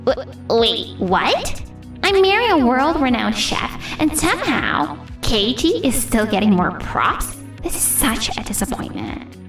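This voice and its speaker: A woman playing a haughty, snobbish younger sister in an expressive manga dub, with a dismissive, theatrical delivery. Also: a little high, a little slow, soft background music, exaggerated voice. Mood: indignant.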